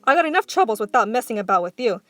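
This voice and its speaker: A woman's voice: a little high, very animated, racing, a bit bright, no room sound. A woman voicing a bad-tempered, impatient storybook father who snaps out curt orders. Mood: snappish.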